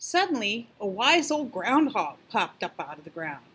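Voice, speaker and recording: An older woman reading a children's picture book expressively, gentle and drawn-out, hushed in places. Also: mid-range voice, very animated, husky. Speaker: storyteller.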